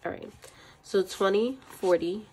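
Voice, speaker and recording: A woman's voice: medium pitch, measured pace, dark tone, slight background noise, rough recording. A young woman with a cold and a stuffy voice, chatting casually and counting money aloud in her budgeting vlog. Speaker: budgeting channel host. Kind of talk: casual chat while counting cash. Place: indoors at a desk.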